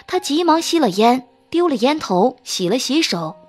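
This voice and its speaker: A woman's voice: high voice, big pitch swings, normal speed, faint music behind. A woman reading a romance novel as a dramatic audiobook narrator.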